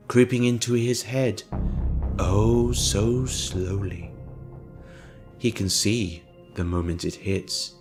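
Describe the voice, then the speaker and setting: Low, slow-paced man narrating dark fiction. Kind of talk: scripted dramatic audio story narration.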